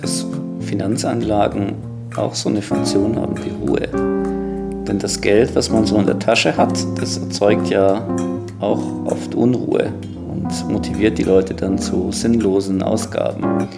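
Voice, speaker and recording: A man's voice: lowish voice, steady tone, a little slow, vocal fry. A man explaining his thoughts in a reflective discussion. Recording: prominent plucked guitar music bed. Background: plucked guitar music.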